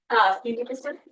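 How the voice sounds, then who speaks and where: A middle-aged woman with a loud voice opens a live interview, joking about herself and laughing easily. She speaks into a handheld mic in an echoey event room. The mic catches her from some distance. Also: fairly high pitch, a little hiss or hum. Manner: lively and self-deprecating, laughs often. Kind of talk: onstage introduction and interview. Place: event room at a book club.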